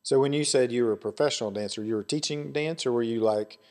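A man's voice: medium pitch, fast talker, a little mellow. Easygoing man hosting a podcast. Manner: jokey, easygoing questioner. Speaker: podcast host. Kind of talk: casual interview chat.

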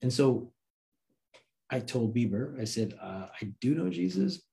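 A man's voice: mid-range voice, measured pace, creaky. A man with an American accent telling a personal story as an interview guest in a casual, informal way, acting out quoted dialogue. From United States, grew up in Santa Cruz, California. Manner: informal, animated retelling with acted-out quotes. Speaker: interview guest, works in film.

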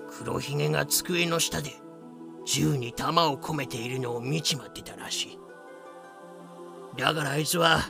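A man playing a rough, lively old-hand pirate telling tales with slangy, dramatic delivery in a fan-made voice drama. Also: a little high. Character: rough lame ex-pirate storyteller, boisterous, lively.

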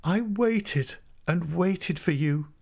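A man's voice: a little high, a little mellow, voice pushed up. A man voicing a distraught young woman, bitter and pleading, in an impassioned audiobook reading of a classic novel. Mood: mournful. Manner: impassioned, repetitive, halting pleas.

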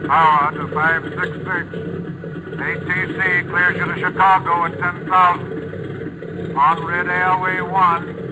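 A male actor playing a methodical control tower operator in a 1940s radio drama, speaking in measured, clipped phrases. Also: sits high, little pitch movement. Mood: businesslike. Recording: old radio broadcast, loud engine noise.

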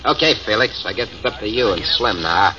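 A man's voice: fairly low pitch, animated, a little fast, natural voice. An actor playing a ruthless, greedy male gang boss in an old radio drama, speaking in a menacing, threatening way. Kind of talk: 1940s radio acting. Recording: distant, lo-fi, noisy old radio recording.

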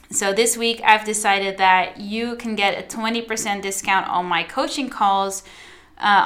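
A woman's voice: mid-range voice, a bit bright. A young woman from the Netherlands whose first language is Dutch, hosting her own podcast in a chatty, casual way and talking straight to her listeners. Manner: chatty, addresses listeners directly, many fillers. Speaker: podcast host and social media coach. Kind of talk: casual solo podcast monologue.

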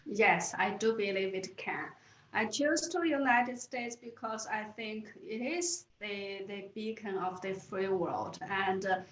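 A woman's voice: medium pitch, slow pace, reverberant, a little hiss or hum, poor audio. A woman from China with a Chinese accent, answering interview questions earnestly, often restarting and repeating words. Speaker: guest.

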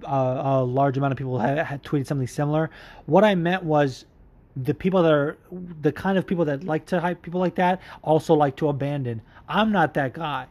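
A man's voice: on the high side, a little mellow. A man hosting a casual podcast, answering listener questions about mixed martial arts in a candid, profane, rambling way. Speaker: podcast host.

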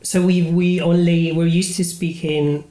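A man with a British accent hosting a casual podcast interview, talking in a halting, self-deprecating way with many restarts. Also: on the high side, flat delivery, mellow, roomy sound. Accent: British English. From Spain. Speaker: podcast host.

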